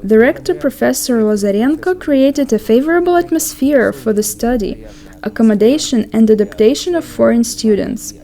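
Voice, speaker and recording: A woman narrates a promotional script in a calm, measured and formal manner. Her voice sits a little high but sounds soft and mellow rather than bright. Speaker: voice-over narrator.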